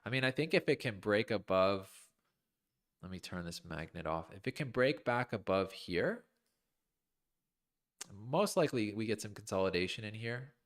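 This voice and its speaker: Low-voiced man talking through trading charts. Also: sing-song, normal speed, pauses often, a bit bright. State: losing his voice, clearing his throat. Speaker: crypto trading streamer. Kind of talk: live chart analysis commentary, thinking aloud.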